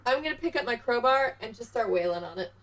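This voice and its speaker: A woman playing a tabletop roleplaying game, chatting casually and in an animated way. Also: fairly high pitch, quick pace, dry recording.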